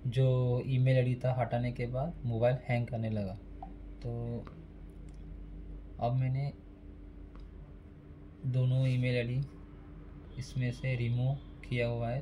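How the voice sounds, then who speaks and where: A man's voice: medium pitch, warm tone, slight background noise. A man giving a casual step-by-step phone repair tutorial, speaking plainly and repeating each step while working at a desk. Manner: plain, repetitive, instructional.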